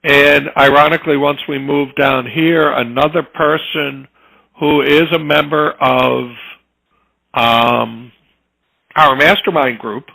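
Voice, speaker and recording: A man in his fifties with a gravelly, bright-edged voice. He talks in an easygoing, storytelling way as an interview guest, casually recounting his path into his trade. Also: on the high side, steady tone, takes it slowly, low-quality recording. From New Jersey, US. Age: 50s. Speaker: home inspector guest.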